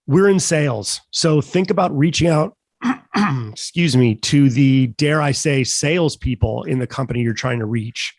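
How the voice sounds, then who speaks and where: Croaky man, mid pitch, talks sales in a relaxed, measured way with fillers. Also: no room sound. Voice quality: croaky, gravelly. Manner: measured, folksy, with many fillers. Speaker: sales panelist. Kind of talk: casual panel discussion.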